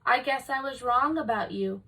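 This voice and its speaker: A woman voicing a sweet, kindly, cheerful old woman from a children's storybook, speaking brightly and reassuringly. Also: fairly high pitch, moderate pace, vocal fry, far from the mic, exaggerated voice, poor audio. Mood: sad.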